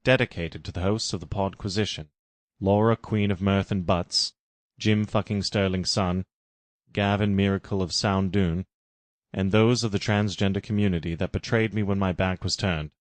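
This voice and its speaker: A man's voice: deep voice, steady tone, normal speed, dry recording. A man giving a scripted narration of a parody story. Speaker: reader.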